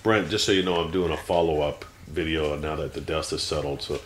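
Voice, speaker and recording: A man's voice: rumbling low, fairly even intonation, fairly quick. A man in his forties talking unscripted to a camera at home, rambling with many fillers and restarts and turning heated. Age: 40s. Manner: grows heated and ranting. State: teary-eyed. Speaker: vlogger.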